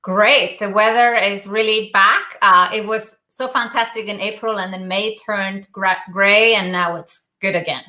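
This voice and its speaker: Icelandic woman, bright voice, roomy sound. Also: mid-range voice, fairly even intonation, a little slow, hardly any pauses. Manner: earnest storytelling with many "uh" hesitations. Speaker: guest entrepreneur. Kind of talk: interview over video call.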